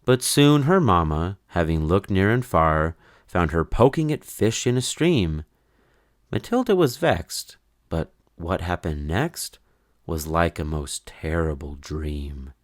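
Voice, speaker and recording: A man reading a children's story in verse aloud, with an expressive, measured, sing-song delivery. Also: deep voice, dry recording, clean studio sound. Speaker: storybook reader.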